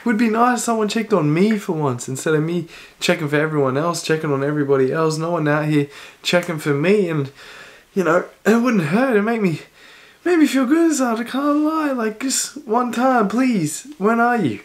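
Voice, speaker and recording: A man hosting a music reaction video in a relaxed chatty way, a little hoarse from a cold and coughing now and then. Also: high voice, normal speed. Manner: chatty, talks over the song with short reactions. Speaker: reaction channel host. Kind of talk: casual music reaction chat.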